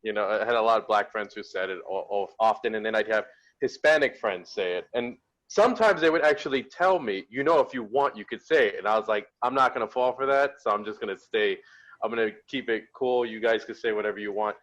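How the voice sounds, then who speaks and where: A man's voice: lowish voice, brisk, a bit bright, dull audio. A man telling a story in a casual panel discussion, easygoing and a little hesitant. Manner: easygoing, hesitant starts with ums.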